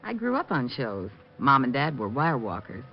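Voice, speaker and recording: Young female carnival performer, friendly, earnest, expressive, dull vintage radio recording. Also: very deep voice, normal speed, heavily acted. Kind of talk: old-time radio acting.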